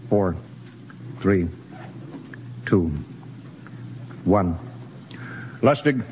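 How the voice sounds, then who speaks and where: Authoritative, cautious starship captain, animated delivery, vintage radio drama, dull noisy sound. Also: male voice, low voice, long reverb tail, full character voice. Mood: brisk, commanding. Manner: brisk, authoritative orders. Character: commanding, cautious spaceship captain, a grown man. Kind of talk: old-time radio drama acting. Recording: muffled, noisy old radio broadcast.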